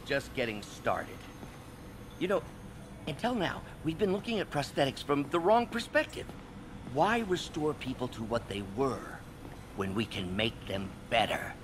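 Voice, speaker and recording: An actor playing a driven, middle-aged scientist mentor in a video game, intense and excitable. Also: male voice, medium pitch, a little slow. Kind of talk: dramatic video game voice acting. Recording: game audio under music and noise.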